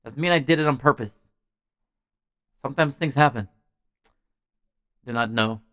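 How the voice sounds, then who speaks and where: A man's voice: mid-range voice, normal speed, fairly bright tone, clear, resonant tone, no echo. A man hosting a music show, chatting casually and ramblingly as he answers messages from viewers. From Norway. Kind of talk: casual livestream chat.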